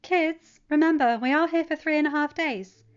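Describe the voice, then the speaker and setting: A woman's voice: sits high, moderate pace, highs cut off, pitched above the performer's own voice, close to an everyday voice. A woman reading a story, voicing a caring, organised motherly woman. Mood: patient, reminding.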